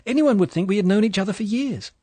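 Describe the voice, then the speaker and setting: A man voicing a young, pretty English wife who talks in a soft, chatty, apologetic way. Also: high voice, lively intonation, fast talker, husky, no echo, voice pushed up. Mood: eager.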